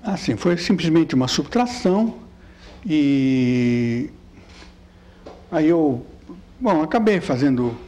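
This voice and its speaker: An older man born in Italy, with a light Italian accent, giving a physics lecture with slides in a chatty, halting way full of fillers. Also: on the high side, expressive, dark tone. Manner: halting, many fillers and repeated words; chatty, keeps asking "né?".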